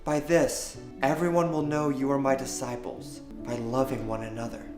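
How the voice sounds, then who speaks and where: A man plays an earnest, pleading son in a melodramatic web drama. He speaks with preachy sincerity at a middling pitch. The dialogue sounds roomy, with music underneath. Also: flat delivery, moderate pace, lightly acted.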